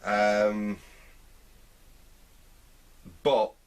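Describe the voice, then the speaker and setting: A man giving unscripted hands-on repair commentary, thinking aloud in a halting way as he works. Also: on the low side, level tone, clean tone, far from the mic. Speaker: host of a vintage radio repair channel.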